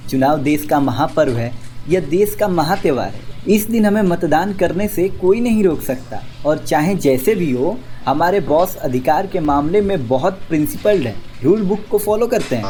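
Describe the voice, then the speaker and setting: A man's voice: a little high, lively intonation, moderate pace, dark tone, faint music behind, natural voice. A man playing an opinionated, teasing young office worker in a scripted radio drama, lecturing his friends bluntly. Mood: assured, explanatory. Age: young adult. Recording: faint background noise and ambience.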